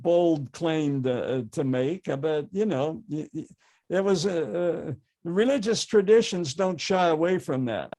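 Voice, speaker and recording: An older man giving an informal history lecture, halting often with fillers and restarts. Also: on the high side, a little mellow.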